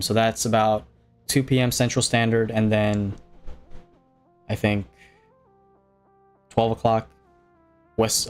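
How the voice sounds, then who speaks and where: A man's voice: lowish voice, smooth. A man with a slight Hawaiian accent, chatting casually on a game live stream, rambling with fillers and restarts as he answers viewers. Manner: rambling, many fillers and restarts, reading chat aloud.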